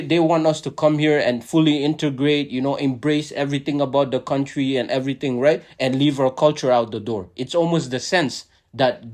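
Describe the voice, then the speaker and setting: A man with a mid-range voice, neither high nor low, talks earnestly on a podcast. English is not his first language. He often repeats words and keeps asking "right?" in a loose, conversational rhythm. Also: a little mellow. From immigrant to Canada. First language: a language other than English or French. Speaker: podcast host.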